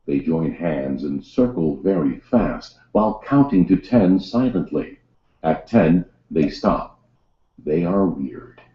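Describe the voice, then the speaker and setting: A man's voice: a bass voice, animated, slow. A man reading scripted stage directions as the narrator of a play. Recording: roomy echo, slightly dull sound.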